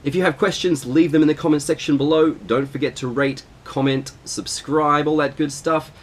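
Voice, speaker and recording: A man with a cold explaining camera lenses in a casual, relaxed tutorial, with plenty of ums and uhs. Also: on the high side, moderate pace. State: nasal from a cold. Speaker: photography vlogger.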